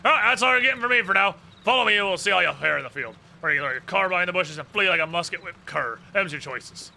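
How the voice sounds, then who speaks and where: Man putting on a fast-talking, salty gunsmith mentor. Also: high-pitched, expressive, gravelly, pushed and bright, voice pushed up, full character voice. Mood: gruff challenge. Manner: salty, colloquial, fast instructing. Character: gruff, brisk gunsmith mentor from a fantasy game. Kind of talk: reading game dialogue in character.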